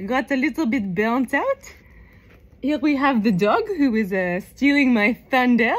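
Female presenter for informal self-pitch: high voice, lively swings in intonation, chatty, playful. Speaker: nurse and children's entertainer presenting herself. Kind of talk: informal video pitch to camera.

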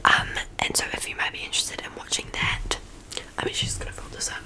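A woman's voice: a little fast, poor audio. Young New Zealand woman whispering ASMR. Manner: rambling, chatty whisper with many ums. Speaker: ASMR creator. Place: small bedroom, indoors. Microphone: studio mic on stand, close up.

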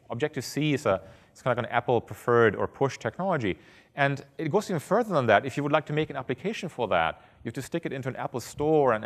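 A man in his thirties giving a conference keynote on stage, talking fast and earnestly, often restarting by repeating a word. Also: mid-range voice. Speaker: keynote speaker. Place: large conference hall on stage. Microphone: headset. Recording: reverberant hall.

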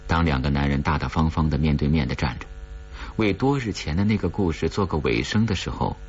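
Male audiobook narrator, low register with lively swings, dramatic suspense delivery, slightly muffled recording. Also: a little hiss or hum. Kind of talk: dramatic scripted horror-novel narration.

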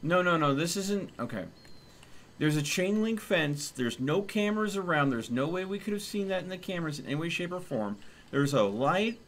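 A man chatting casually as he streams a game, exclamatory and quick to swear. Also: fairly high pitch, animated, a bit bright. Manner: profane, exclamatory. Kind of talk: casual gaming chat with friends. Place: indoor room, streaming setup.

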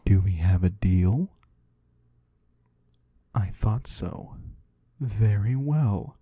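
A mysterious disembodied trader from the void, played by a man, speaks slowly in a husky, breathy hush. His pitch drifts up and down with courteous curiosity, as in an intimate ASMR roleplay. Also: lively intonation, no room sound, full character voice. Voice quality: husky, breathy, sometimes whispering. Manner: slow, hushed, gently curious. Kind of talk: soft-spoken ASMR roleplay. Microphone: close, dry microphone.

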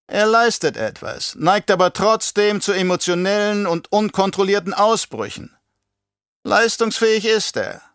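A man's voice: sits high, animated, measured pace, fairly bright tone, smooth, no echo, studio quality, pitched above the performer's own voice, full character voice. A man playing a scheming, imperious older baron in an audiobook, with a mocking, condescending, theatrical delivery. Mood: sneering. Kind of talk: audiobook character acting.

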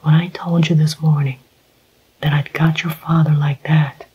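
Cold sneering young husband, whispered by the reader; gruff, slow, flat delivery, slightly muffled. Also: medium pitch.

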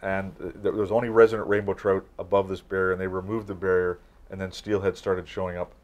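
Male, 60s, lowish pitch. Expert guest in casual office conversation, explanatory, halting with repeated words. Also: flat delivery. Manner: halting, repeats words while forming points. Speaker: academic expert, interview guest. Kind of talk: informal interview conversation, explanatory. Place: small office, indoors.

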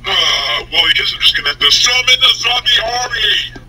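A man's voice: high-pitched, animated, normal speed, sharp tone, exaggerated voice. A man playing a taunting, theatrical zombie king villain in an amateur Minecraft roleplay movie. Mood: taunting menace. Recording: very noisy, crackly home recording.

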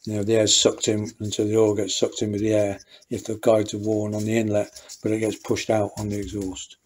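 A man's voice: on the low side, completely flat delivery, brisk, a little mellow, a little hiss or hum. A man explaining a motorcycle engine rebuild as he works, thinking aloud with fillers and restarts. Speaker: motorcycle mechanic. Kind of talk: hands-on workshop commentary.

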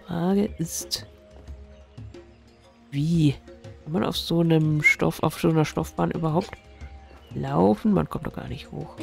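Woman, stuffy nose, gravelly, casual game commentary, faint music behind. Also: sits low, a little slow, pauses often. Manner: thinks aloud, puzzling over the game. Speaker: gaming streamer.

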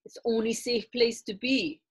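A woman's voice: on the high side, steady tone, moderate pace, husky, voice pushed up. Female reader playing male surfer, casual slang, urging, friendly with a sly edge, storybook. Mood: coaxing.

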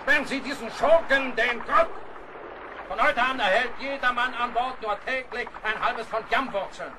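A man's voice: extremely high pitch, moderate pace, noisy background. A man playing a tyrannical, suspicious ship's captain in a historical radio play, barking orders and sarcastic accusations. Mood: furious.

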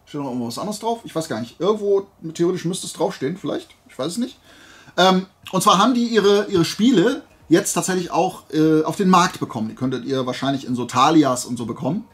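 A man's voice: fairly high pitch, lively intonation, fast, a bit bright. A man hosting a casual YouTube haul vlog, talking informally in a rambling way as he thinks aloud. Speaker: YouTube host.

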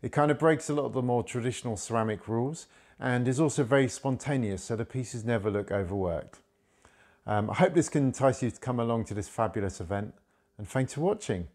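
A man's voice: medium pitch, expressive, a little fast, darkish tone. A man in his thirties from the UK, enthusiastically introducing his art demo while seated in his studio, speaking into a lapel mic. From United Kingdom. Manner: upbeat and enthusiastic. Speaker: wildlife artist. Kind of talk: promotional self-introduction. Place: studio room with shelves of animal figures.

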